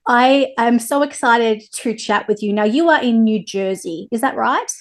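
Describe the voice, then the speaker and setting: A woman's voice: a little high, normal speed. A woman with an Australian accent hosting an interview, speaking enthusiastically and with lots of praise. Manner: enthusiastic, effusive.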